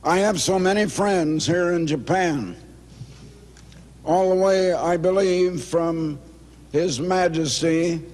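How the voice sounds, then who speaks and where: A man in his eighties, hoarse, giving a formal speech at a banquet in slow, emphatic phrases. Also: high voice, a little mellow. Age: 80s. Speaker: speaker at a banquet. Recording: reverberant banquet hall.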